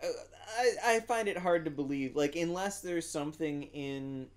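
A man's voice: a little high, normal speed. A young man from Ohio co-hosting a podcast, teasing playfully and laughing often during film commentary. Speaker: podcast co-host. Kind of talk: comedic film commentary chat.

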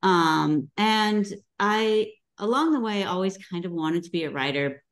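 A middle-aged woman, a guest author, talking casually over a video call, chatty with many pauses and ums. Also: medium pitch.

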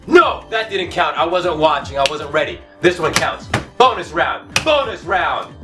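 Hoarse, cocky gamer man yelling outbursts. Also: fairly high pitch, big pitch swings, a little slow. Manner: cocky, self-hyping, swings from mutters to shouting outbursts. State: hoarse, losing his voice. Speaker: comedy gaming host. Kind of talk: over-the-top comedic game commentary. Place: home room, indoors. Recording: somewhat distant mic, loud game music behind.

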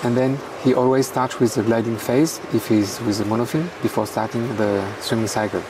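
A man with a French accent explains technique slowly and hesitantly, filling his sentences with pauses and restarts. He sits somewhat away from the microphone. Steady background noise runs under his voice. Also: mid-range voice, distant mic. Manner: hesitant, halting, many fillers. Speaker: guest commentator.

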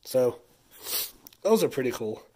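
A husky-voiced man in his thirties talks in an easy, chatty way, sounding stuffed up from a sinus infection. He is recorded indoors on a phone camera held close, easily impressed and drifting into a rant now and then. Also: medium pitch, sing-song, unhurried, mellow. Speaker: vlogger showing a haul. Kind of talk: casual haul vlog chat.

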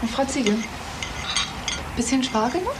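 A woman's voice: sits high, expressive, normal speed. An actress playing a fussy, prim and insistent mother and hostess in a radio play. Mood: polite, insistent. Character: fussy, polite hostess mother, scolding her small son. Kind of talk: German radio play dialogue. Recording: noisy recording with room ambience.

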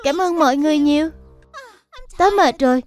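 Woman voicing a high, friendly little cartoon girl. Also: fairly quick, soft background music, natural voice. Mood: friendly, pleased. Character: graceful, friendly little girl animal pupil. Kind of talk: dubbed children's cartoon acting.

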